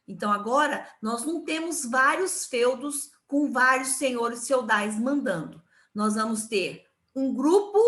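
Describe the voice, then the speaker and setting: A woman with a Brazilian Portuguese accent teaching a history lesson to children, explaining slowly and patiently. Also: sits high, fairly bright tone, reverberant. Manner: slow, patient classroom explaining.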